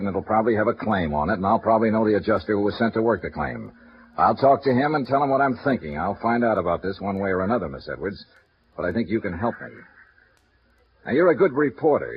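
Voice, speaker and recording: A hard-nosed insurance investigator, played by a man, presses with calm, steady questions. His voice sits low but rises and falls a lot. It comes through a muffled old radio transcription. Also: a little hiss or hum, lightly acted. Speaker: lead actor. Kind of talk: 1950s radio drama acting.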